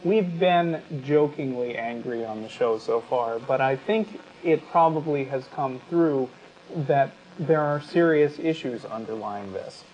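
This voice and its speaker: A man's voice: mid-range voice, a little slow, a little mellow. A man co-hosting a talk show, mixing conversational commentary with passages read aloud. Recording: dull lo-fi audio with clear background noise.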